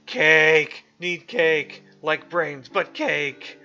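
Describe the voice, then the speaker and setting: A man voicing a whiny, excitable female sidekick obsessed with cake. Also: on the high side, very slow, muffled recording, soft background music, pitched above the performer's own voice, heavily acted.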